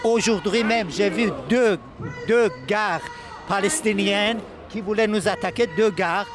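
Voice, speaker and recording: Halting high-voiced man at a protest. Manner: halting, many pauses and fillers. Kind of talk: street interview. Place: outdoor city protest. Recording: crowd and music behind.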